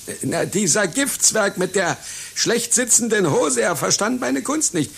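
Vain aging male clown for radio satire: high, husky, touchy, grandly pompous, rambling. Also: animated, measured pace, fairly bright tone, heavily acted. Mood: confused, grumbling. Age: older. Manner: pompous, indignant, rambling with hesitations. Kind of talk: satirical radio play acting.

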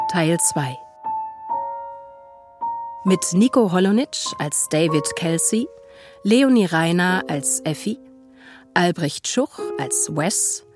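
A woman announcing a radio play's title and credits in a scripted, measured read. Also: on the low side. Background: piano music.

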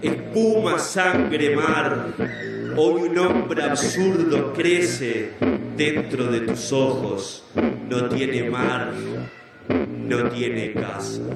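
A man giving a live experimental poetry recitation at an arts festival, declaiming dramatically with halting repetitions. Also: mid-range voice, vocal fry. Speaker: sound poetry performer. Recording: very echoey live festival recording, distant, music.

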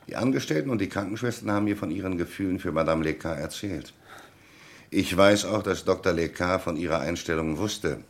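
An actor playing a shrewd police commissioner in a German radio crime drama, courteous but relentless, with formal, commanding questioning. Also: male voice, sits low.

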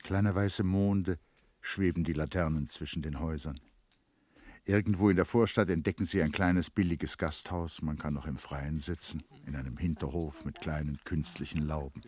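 A man narrating a radio play in a measured, literary storytelling style. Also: rumbling low, fairly even intonation, a little mellow, husky.

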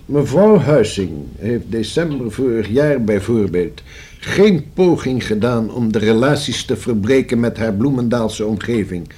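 An actor in a Dutch radio play playing a courteous old man who is husky, careful and formal. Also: medium pitch, animated, mellow. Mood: formal, discreet. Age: older. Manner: formal, measured, circumspect.

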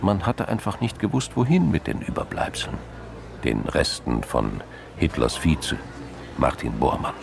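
A man with a gravelly voice reading scripted narration for a crime radio drama. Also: rumbling low, huge pitch swings, a little slow, background noise.

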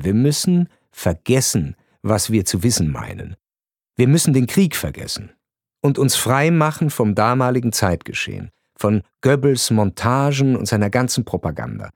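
A man reading scripted literary narration for a radio production, in a measured, deliberate, essayistic manner. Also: lowish voice, darkish tone, no echo. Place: radio studio. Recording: dry studio recording.

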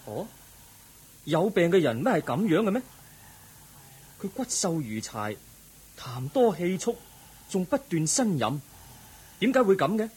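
A man's voice: a little high, very animated, darkish tone, slightly rough, full character voice. A man acting an earnest, troubled young prince in a Cantonese radio drama, full of wondering questions. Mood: puzzled, curious. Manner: inquisitive, wondering, drawn-out questioning.

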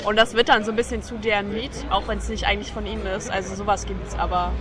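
A woman's voice: mid-range voice, level tone, quick pace. A woman being interviewed, giving her opinions in a casual, conversational way. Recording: noisy outdoor crowd background. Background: crowd chatter, outdoor noise.

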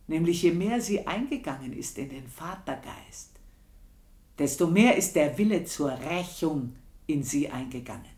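Vengeful pious old servant woman, southern German or Austrian accent, radio drama, very slow, roomy echo. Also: low voice. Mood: cold, grimly satisfied. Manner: deliberate, intense, drops into whispers. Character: bitter, vengeful old housekeeper, pious and unforgiving.

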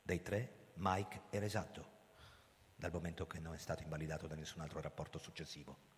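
An actor playing a weary, proud old police chief, telling his story in a theatrical, deliberate radio drama style. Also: a bass voice, steady tone. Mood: grave, quiet. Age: older. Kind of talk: dramatic radio play narration and dialogue over music. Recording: long hall reverb, loud music underneath. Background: loud music.

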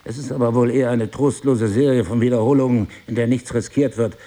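An actor playing a middle-aged husband, wounded yet devoted, who argues in articulate, deliberate phrases in a stage-play dialogue. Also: male voice, mid-range voice, fairly even intonation, mellow, lightly acted. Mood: impassioned, lecturing. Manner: articulate, deliberate, lecturing, ironic. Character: reproachful yet devoted husband, wounded, philosophizing crime writer.

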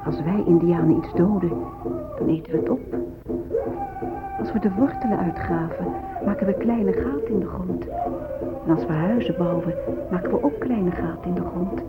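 A woman's voice: fairly low pitch, close to an everyday voice. Actress as a grave Native woman, muffled radio. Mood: solemn. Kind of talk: solemn radio drama monologue. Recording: muffled phone-like old radio recording. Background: music.

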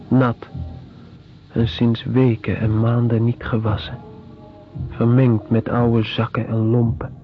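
An actor playing a weary male prisoner in a radio drama, speaking in a measured, deliberate, descriptive monologue. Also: mid-range voice, close to an everyday voice. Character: weary male prisoner recalling squalid penal-colony life. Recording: dull radio recording with music.

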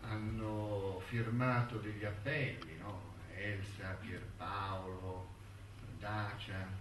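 An actor playing a wistful, bitter elderly intellectual in a theatrical monologue, musing and halting as he reminisces. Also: lowish voice, steady tone, noisy background. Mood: bitter, resigned. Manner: halting, musing, with rhetorical tags and repetitions. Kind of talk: theatrical monologue in a radio-broadcast play. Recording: echoey stage recording, distant mic, music.

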